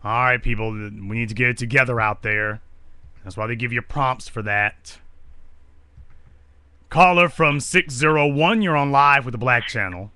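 A man hosts a call-in talk show, taking callers and lecturing them bluntly. His voice is bright and forceful. The sound is dry and close, with no room around it. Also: mid-range voice, moderate pace, smooth, dry recording.

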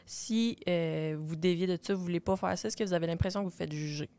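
Casual female podcast host, young, Quebec French accent, slightly low voice, close dry studio sound. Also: quick pace, warm tone, no echo. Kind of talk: casual panel discussion. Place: small radio studio. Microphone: studio boom microphone with headset.

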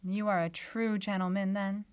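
A beguiling young woman healer in a radio play, played by a woman, enigmatic and measured in her old-fashioned phrasing. Her voice sits at a medium pitch and is recorded close and dry. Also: flat delivery, a little mellow, smooth, dry recording, lightly acted. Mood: coy, probing. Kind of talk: radio drama acting. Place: small indoor radio studio. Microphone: shared vintage-style studio mic on stand.

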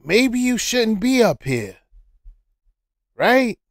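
A man reacting to a video with slow, amused, halting asides. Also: high-pitched, very animated, no echo, clean studio sound. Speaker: reaction commentator. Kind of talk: casual reaction commentary.

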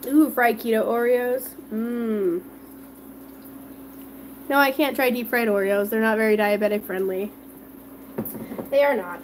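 A woman's voice: fairly high pitch, steady tone, unhurried, long pauses, slight background noise, poor audio. A woman chatting with viewers and reading their comments while she fries food in her home kitchen. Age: adult with children. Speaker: home cooking livestream host. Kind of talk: casual live cooking chat with viewers.

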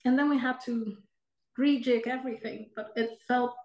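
A woman in her forties, a film director, explaining her process in a measured, thoughtful way during a festival Q&A over video call. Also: fairly high pitch, fairly even intonation, darkish tone. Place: home room, indoors. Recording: roomy video call audio.

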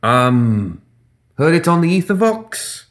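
A man's voice: fairly high pitch, sing-song, slow pace, pitched above the performer's own voice. A man voicing a nervous male airship engineer who answers meekly and hesitantly, eager to please.

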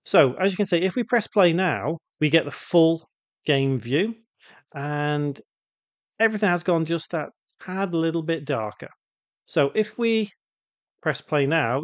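A man with a fairly high-pitched voice talks through a software tutorial step by step. His delivery is casual and instructional, unscripted. The voice-over is close and dry, with very little room sound. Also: no room sound. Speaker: tutorial presenter.

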